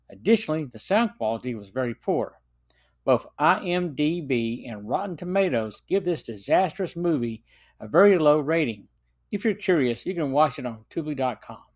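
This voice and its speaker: Middle aged guy doing a podcast review off a script, measured delivery, normal male pitch. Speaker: podcast host and film reviewer.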